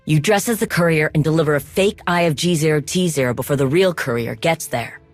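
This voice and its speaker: A woman's voice: low voice, brisk, faint music behind, lightly acted. A woman playing an earnest, anxious conspirator in a video game, speaking in an urgent, conversational way. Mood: focused, urgent. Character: earnest, anxious conspirator planning a risky con. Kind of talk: video game cutscene acting.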